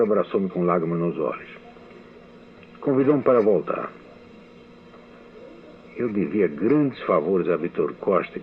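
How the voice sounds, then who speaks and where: An older man, a radio writer, reading his memoirs in a measured, recollecting way for a radio testimony. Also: low-pitched. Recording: tinny archival radio recording.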